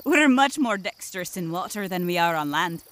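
A woman's voice: fairly low pitch, expressive, normal speed, a bit bright, no room sound. A woman playing a stately, helpful elder of magical water folk, speaking formally and grandly in a fantasy comedy audio drama. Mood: gracious. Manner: formal, grandly archaic diction.

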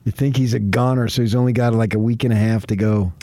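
A man's voice: on the low side, steady tone, quick pace, dark tone, no echo. A man hosting sports radio, chatting casually with laid-back, brief interjections.

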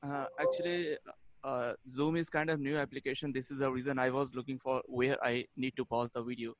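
A man's voice: mid-range voice, little pitch movement, a little fast, very noisy. A man whose first language is Hindi, joining a video call and speaking English eagerly but hesitantly, with frequent fillers. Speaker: caller joining the meeting. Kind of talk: casual video-call chat.

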